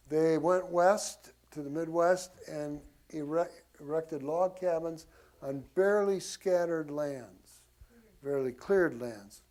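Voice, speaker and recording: Informal male lecturer, slightly high voice. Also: mellow. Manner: folksy, informal, asides to listeners. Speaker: church class teacher. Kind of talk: informal history lecture to a group.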